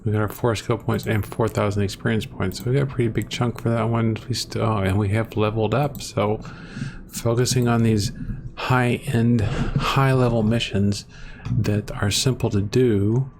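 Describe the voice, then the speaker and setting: A man's voice: lowish voice, fairly even intonation, normal speed, noisy background. A man hosting a gaming channel, talking through his gameplay in a rambling, self-deprecating way on a close desktop microphone at home. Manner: rambling, self-deprecating, thinks aloud while playing. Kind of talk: casual gameplay commentary.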